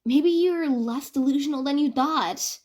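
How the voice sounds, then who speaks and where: A woman's voice: high-pitched, unhurried, darkish tone, pitched above the performer's own voice. A woman voicing a playful, dramatic college student with a mischievous streak, gushing and pleading theatrically. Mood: teasing, gushing.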